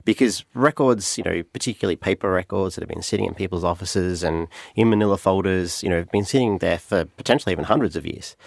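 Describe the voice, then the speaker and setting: A low, gravelly-voiced Australian man talking through his points on a podcast. He explains carefully, with plenty of "um"s and restarts. The sound is close and dry, with no room around it. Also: no room sound. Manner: thoughtful, explanatory, with fillers and restarts. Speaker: podcast guest, company CTO. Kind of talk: conversational podcast interview.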